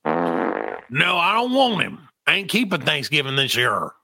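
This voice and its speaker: A cranky, stubborn old grandfather, read aloud by a man snaps at everyone in a gravelly, husky voice. His lines come slowly and sit high for a man, with a bright edge. The sound is dry and close. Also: huge pitch swings, heavily acted. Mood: grumbling. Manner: gruff, snapping, reading a script cold.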